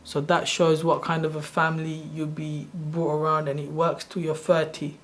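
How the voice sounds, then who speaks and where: A soft-spoken man in his thirties, originally from Sri Lanka, casually walking through his own screen in a loose, rambling way. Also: on the high side, monotone, normal speed, slight background noise. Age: 30s. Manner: rambling, loose and self-correcting. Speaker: channel host. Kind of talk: casual screen-share walkthrough.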